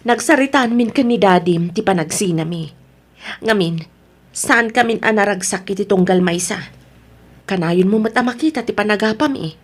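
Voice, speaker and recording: A woman's voice: mid-range voice, normal speed, close to an everyday voice. A woman playing an unhappy wife and mother who wants her freedom, in an Ilocano radio drama. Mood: bitter, resolute.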